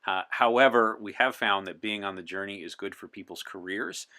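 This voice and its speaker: Deep, bright-voiced man being interviewed. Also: a little fast. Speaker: interview guest. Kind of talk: in-character interview chat as a time traveler from 1964.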